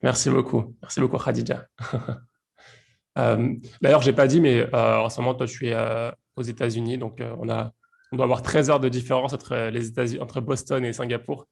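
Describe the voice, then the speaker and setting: A man's voice: medium pitch, little pitch movement, moderate pace, vocal fry, darkish tone. A man hosting a podcast, speaking as a narrator and interviewer. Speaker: podcast host.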